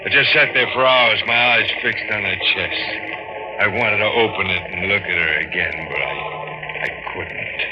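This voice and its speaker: An actor playing an older fisherman skipper, a pleading, guilt-haunted husband, in halting, dramatic 1940s radio style. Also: fairly low pitch, natural voice. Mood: haunted confession. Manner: intense, halting, dramatic delivery. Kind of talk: 1940s radio acting, first-person narration and dialogue. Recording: dull vintage radio recording with music.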